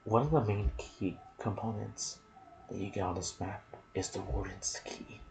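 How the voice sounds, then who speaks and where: A soft-spoken man hosting an ASMR gaming video, reading trivia with casual asides and sometimes dropping into a whisper. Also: fairly low pitch, steady tone, moderate pace, gravelly, a little hiss or hum.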